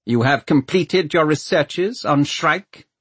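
A man's voice: on the high side, slow pace, husky, dry recording, voice pushed up. A man voicing a commanding, coolly ruthless male Lord Mayor with an imperious, clipped manner in an audiobook. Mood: curt, imperious.

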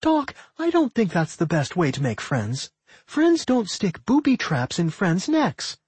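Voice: medium pitch, huge pitch swings, measured pace, a little mellow, slightly rough, dry recording, voice pushed up, heavily acted. A narrator voicing a protective, fretful young winged female creature in a fantasy audiobook, urgent and scolding. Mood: reproving.

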